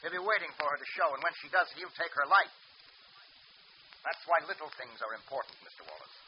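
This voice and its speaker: Actor as a firm, brisk lawyer giving orders, slightly higher voice, rapid delivery, muffled hissy old radio sound. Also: male voice, animated, natural voice. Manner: firm, commanding. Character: stern sharp male defense attorney. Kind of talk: 1940s radio acting.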